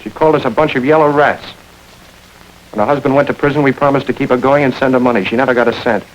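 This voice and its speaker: A man plays a hard-boiled gang boss, mid-pitched and very quick in his speech. He is commanding and terse, in vintage noir radio style. A faint noise sits on the old recording. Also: natural voice, poor audio. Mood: commanding, accusing. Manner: terse, giving orders. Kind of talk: 1940s film noir radio acting.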